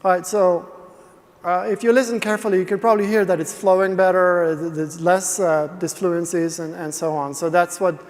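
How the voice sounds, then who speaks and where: Male tech presenter, slightly high voice, halting explainer, long hall reverb. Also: level tone. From Sweden. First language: Swedish. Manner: explanatory, halting with many restarts. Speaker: conference presenter, speech engineer. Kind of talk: conference talk. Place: large conference hall.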